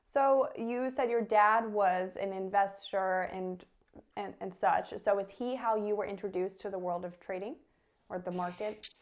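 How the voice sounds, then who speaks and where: A woman's voice: medium pitch, fairly even intonation, unhurried. Woman interviewer asking direct questions. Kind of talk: scripted intro then interview questions.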